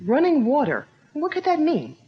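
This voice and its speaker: A woman's voice: high-pitched, wildly swooping pitch, moderate pace. An actress playing an eager young woman assistant to a detective chief, speaking expressively in a 1940s-style radio drama. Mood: curious. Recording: dull lo-fi old radio recording.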